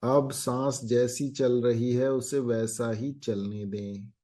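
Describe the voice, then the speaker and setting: A man guiding a meditation as an instructor, speaking calmly in short phrases with long pauses. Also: mid-range voice.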